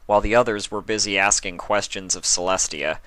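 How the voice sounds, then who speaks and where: Man with a low voice reading a story. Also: fairly quick, no room sound. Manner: steady storytelling narration. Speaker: fanfiction reader.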